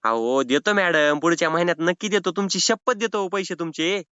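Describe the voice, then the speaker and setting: A man's voice: high voice, moderate pace, pushed and bright, clear, resonant tone, dry recording. A man playing a cheeky, evasive rural Maharashtrian borrower with a whiny, argumentative way of stalling in a comic phone call. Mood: placating. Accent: rural Maharashtrian Marathi. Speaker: prank caller playing a loan defaulter. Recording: slightly dull phone-call audio.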